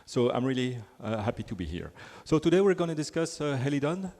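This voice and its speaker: A man's voice: medium pitch, big pitch swings, fast, darkish tone. A man from Belgium with a French accent, giving a chatty technical conference talk full of fillers and restarts. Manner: chatty, self-deprecating, frequent fillers and restarts. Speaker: conference speaker. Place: large conference hall. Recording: hall with long reverb.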